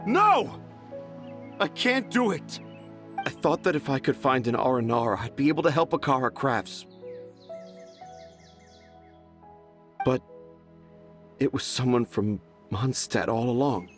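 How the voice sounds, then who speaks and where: A man's voice: medium pitch, big pitch swings, normal speed, a bit bright, soft background music. An actor playing a stubborn, easily exasperated storyteller father in a video game, with a theatrical, emphatic delivery. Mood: dejected.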